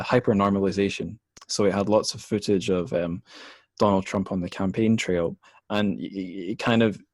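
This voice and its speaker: A man's voice: low voice, darkish tone, no room sound, clean studio sound. A man hosting a casual book discussion podcast, thinking aloud in a hesitant, rambling way. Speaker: podcast host.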